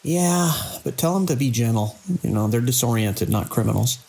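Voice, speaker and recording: An actor playing a controlling male hospital director in an audio drama, brisk and dismissively reassuring as a crisis grows. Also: mid-range voice, expressive, gravelly, warm tone. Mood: exasperated, controlled.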